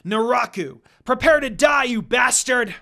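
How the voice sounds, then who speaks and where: A woman voicing a brash, hot-headed young male half-demon warrior in a story reading, yelling and taunting. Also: mid-range voice, lively intonation, a little slow, gravelly, voice pushed up, full character voice. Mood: furious, battle shouting.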